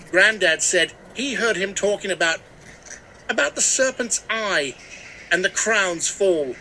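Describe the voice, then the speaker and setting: A man acts out a superstitious old village historian in an audio mystery drama. The voice is sharp, forceful and a little high, with hesitant, folksy storytelling over faint background noise. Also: rough recording. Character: superstitious old male small-town local historian. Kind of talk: scripted mystery drama interview.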